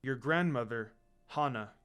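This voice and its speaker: A tender middle-aged father, played by a man reading aloud. He sounds soft and remorseful. His words come gently and heavily. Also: mid-range voice, unhurried, clear, resonant tone, lightly acted.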